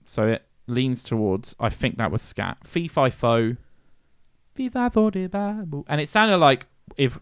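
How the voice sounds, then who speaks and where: A man's voice: mid-range voice, measured pace, clean tone, dry recording, clean studio sound. A man co-hosting a music podcast in casual chat, laughing often and swearing casually. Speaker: podcast co-host.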